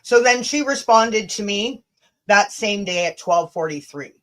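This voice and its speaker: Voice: mid-range voice, lively intonation, measured pace, fairly bright tone, rough recording. A livestream host chatting casually and reading messages aloud, blunt and sweary, stumbling and rereading as they go.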